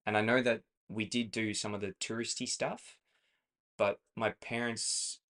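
A man's voice: fairly low pitch, flat delivery, a little slow, a bit bright. Chatty Australian man, rambling and laughing. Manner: rambling, self-correcting, laughs easily. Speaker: podcast co-host. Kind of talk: casual chat.

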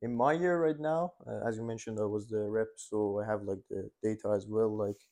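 A man's voice: fairly low pitch, fairly even intonation, brisk, mellow. A young man in his twenties from Iran, a dental student answering interview questions in a hesitant way, with many fillers and restarts. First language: Persian. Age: 20s.

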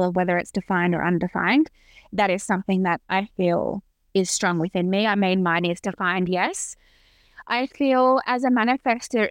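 A woman's voice: medium pitch, clear, resonant tone, dry recording. A woman in her thirties, a podcast guest talking earnestly and reflectively about her own experience. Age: 30s. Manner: earnest, reflective, with frequent restarts. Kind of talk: casual interview conversation.

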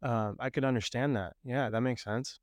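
A man's voice: medium pitch, fairly even intonation, normal speed, no room sound. A man from Puerto Rico hosting a podcast, talking casually and thoughtfully with his sister at his parents' house, often affirming what she says. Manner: thoughtful, agreeable, frequent backchannel affirmations. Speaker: podcast host.

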